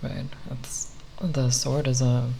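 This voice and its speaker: A speaker giving an unscripted ASMR ramble in a halting, nerdy, hesitant manner with long pauses. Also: mid-range voice, little pitch movement, vocal fry, warm tone. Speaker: ASMR content creator. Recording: faint background noise.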